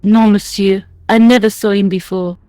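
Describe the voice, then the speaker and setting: A woman's voice: mid-range voice, fairly even intonation, moderate pace, warm tone, dry recording, close to an everyday voice. An actress playing a blunt, groggy, grumbling French woman who likes a drink, with a French accent, in an adventure game. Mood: shaken, frank.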